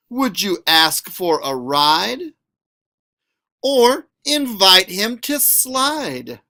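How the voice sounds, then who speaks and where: Animated, slow-paced man reading to children. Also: high-pitched. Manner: expressive, questioning, stretches out words for children. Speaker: school librarian reading a picture book. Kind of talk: animated read-aloud of a children's picture book.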